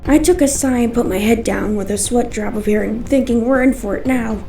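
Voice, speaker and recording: A woman's voice: on the high side, a little fast, gravelly, mellow, recorded from a distance. A woman narrating a scripted first-person story as its storyteller. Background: music.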